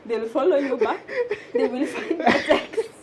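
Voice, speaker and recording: A woman's voice: high-pitched, sing-song, measured pace, a loud crowd. A young Kenyan woman hosting a casual chat, speaking in a playful, teasing way and slipping between languages. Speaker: podcast host. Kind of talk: casual conversational chat, mixing English and Swahili.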